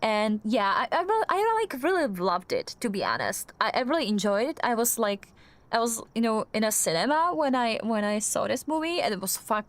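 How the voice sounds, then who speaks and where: A woman's voice: fairly high pitch, very animated, a little fast. A young woman with a Czech accent chatting casually while streaming a game, hesitant and full of fillers and restarts. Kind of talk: casual chat while gaming.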